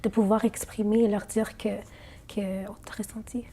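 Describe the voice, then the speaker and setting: A woman's voice: a little high, little pitch movement, normal speed, warm tone, breathy edge. A woman singer whose first language is Arabic, answering interview questions in a hesitant way, with many fillers and restarts.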